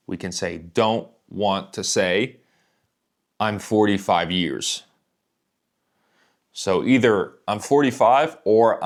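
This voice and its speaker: A man teaching a slow English listening lesson, speaking deliberately and repeating words for learners. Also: on the low side.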